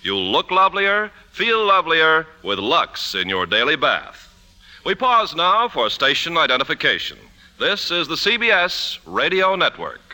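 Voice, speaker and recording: A man's voice: a little high, wildly swooping pitch, measured pace, sharp tone, big-room sound. A man announcing in scripted 1950s radio advertising style.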